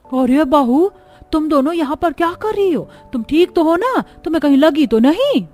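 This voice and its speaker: A woman voicing an alarmed elder relative in an animated story, urgent and scolding in manner. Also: high-pitched, normal speed, faint music behind, pitched above the performer's own voice. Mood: alarmed concern.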